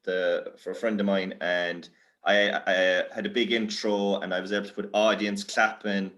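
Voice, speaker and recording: A man's voice: fairly low pitch, level tone, normal speed, fairly bright tone. An Irish man chatting informally and slangily on an online group call. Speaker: workshop speaker. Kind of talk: casual, informal chat.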